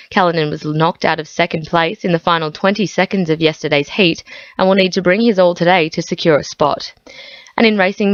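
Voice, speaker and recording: A woman's voice: lowish voice, no room sound, lo-fi sound. A woman with an Australian accent reading a scripted sports bulletin on radio. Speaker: sports news reader. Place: dry radio studio.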